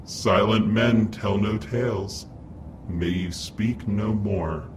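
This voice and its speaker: A man's voice: lowish voice, slow, noisy background. A man playing a grim, solemn vigilante in an audio drama, with an ominous manner.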